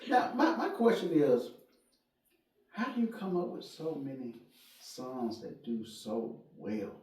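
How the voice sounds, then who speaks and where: Lively man in an echoey room. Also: medium pitch, takes it slowly. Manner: encouraging, affirming, informal. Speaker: radio host interviewer. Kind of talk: casual radio interview. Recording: roomy, echoey, distant microphone.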